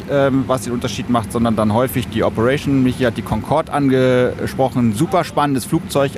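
A man, an airline pilot, giving thoughtful, measured explanations in an interview. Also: medium pitch. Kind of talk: interview answers, explanatory chat. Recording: clear vehicle-like ambient noise.

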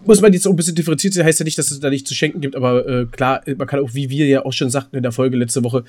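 Male podcast co-host. Mid-pitched voice, loose chatty delivery that stops, restarts and wanders. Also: fairly even intonation, a little mellow. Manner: rambling, halting, repeats words mid-sentence. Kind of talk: casual chat between co-hosts.

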